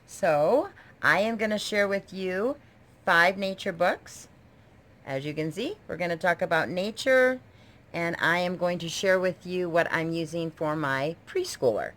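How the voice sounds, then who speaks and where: A woman's voice: low-pitched, fairly bright tone. A woman giving a casual show-and-tell book review, talking in an enthusiastic, explanatory way like a homeschooling mother. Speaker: homeschooling mother presenting books. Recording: noisy home video recording.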